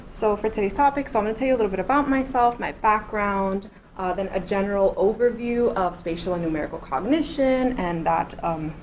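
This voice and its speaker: A woman in her thirties from Miami, a postdoctoral researcher, gives an in-person academic lecture. Her voice sits at a middling pitch, and she lays out her ideas in a careful, step-by-step way. A faint hum of room noise runs underneath. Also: a little fast, low-quality recording. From Miami, United States. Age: 30s. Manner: explanatory, methodical, walks through points in order.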